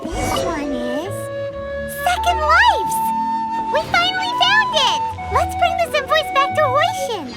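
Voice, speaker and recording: A tiny floating companion creature, chirpy and excitable, her squeaky voice sitting extremely high and leaping wildly up and down. She drawls her words out slowly. Game music plays loudly behind her. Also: full character voice. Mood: musing. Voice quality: squeaky, bouncy. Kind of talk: video game voice acting.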